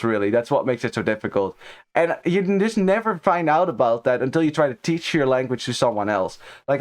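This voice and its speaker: A young Dutch man in his twenties casting a strategy game live, chatting with viewers and rambling with many restarts. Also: a little high. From Netherlands. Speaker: esports caster. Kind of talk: live game commentary with chat banter.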